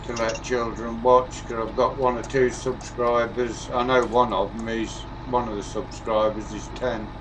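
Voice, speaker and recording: A man's voice: mid-range voice, measured pace, few pauses. A man who streams games, chatting casually with other players over voice chat. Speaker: gaming channel owner and streamer. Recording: distant mic with steady hum and noise.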